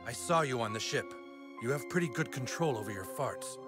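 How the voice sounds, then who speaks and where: A man's voice: mid-range voice, animated, brisk, close to an everyday voice. A man voicing an earnest, blunt adult male character in a cartoon video game. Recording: game music over the dialogue.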